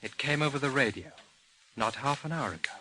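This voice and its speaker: An actor playing a cool, serious man in an old-time radio drama, speaking in a terse, deliberate way. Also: medium pitch, pushed and bright, rough recording. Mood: grave. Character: grave, cool man bringing bad news, woman's lover.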